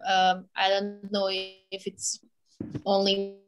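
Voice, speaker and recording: A woman's voice: mid-range voice, completely flat delivery, slow pace, low-quality recording. A seventeen-year-old girl from Bosnia speaking politely and hesitantly on a video call. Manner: polite, hesitant, simple phrasing. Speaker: guest.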